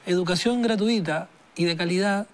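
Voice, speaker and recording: High-voiced guy in his 40s, slow and reflective, lots of restarts. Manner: slow, thoughtful, halting with many restarts. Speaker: singer-songwriter guest. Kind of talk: reflective interview talk.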